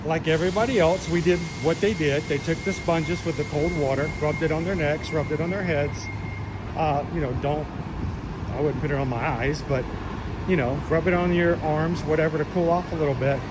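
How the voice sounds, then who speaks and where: A man's voice: a little high, fairly quick. A man hosting a casual livestream, chatting with viewers from a bus. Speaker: livestream host. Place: on a moving bus. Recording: loud vehicle noise behind.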